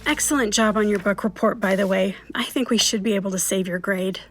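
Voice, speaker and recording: An actress playing a composed, coolly knowing schoolteacher with a formal, coy manner in an audio drama. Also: female voice, medium pitch, fairly quick, a bit bright, faint music behind, lightly acted.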